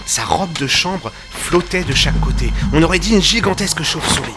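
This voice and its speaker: A man playing an earnest, wry detective's companion who narrates an audio drama in measured, literary storytelling. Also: fairly high pitch, animated, natural voice. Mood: suspenseful, wry. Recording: music under the voice. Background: music.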